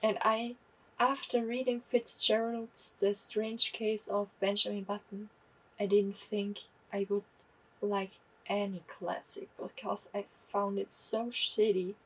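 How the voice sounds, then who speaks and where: Young woman, husky voice, slow and candid book chat. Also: medium pitch, little pitch movement, recorded from a distance, poor audio. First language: German. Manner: chatty, candid, self-correcting. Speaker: book vlogger. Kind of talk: casual book review.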